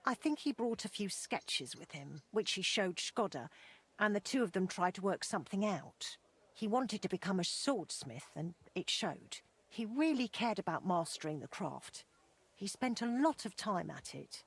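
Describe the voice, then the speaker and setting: A woman's voice: medium pitch, very animated, normal speed, breathy edge. An actress playing a motherly older blacksmith's wife in a video game, plainspoken and wistfully reminiscing. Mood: fond reminiscing. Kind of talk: video game dialogue acting.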